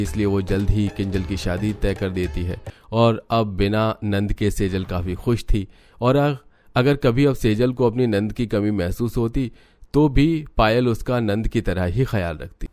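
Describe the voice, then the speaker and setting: A man's voice: lowish voice, normal speed, a little mellow, no room sound, faint music behind. A man reading scripted narration for a family drama cartoon. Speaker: story narrator.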